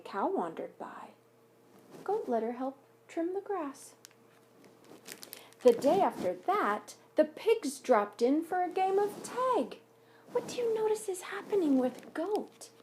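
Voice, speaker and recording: A woman reading a picture book aloud to young pupils as a teacher, expressively, pausing to ask questions and explain things simply. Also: sits high, warm tone, a little hiss or hum. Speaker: elementary school teacher reading aloud to students.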